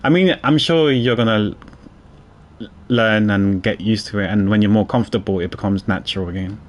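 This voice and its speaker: A man's voice: fairly low pitch, moderate pace, highs cut off. A man, streaming a game and rambling in casual chat with many restarts.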